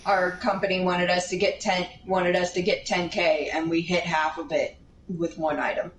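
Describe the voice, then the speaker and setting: A woman casually telling a rambling anecdote in an animated way, drifting into tangents and acting out what people said. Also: on the low side, moderate pace. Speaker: storyteller. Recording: roomy, distant mic, steady background noise.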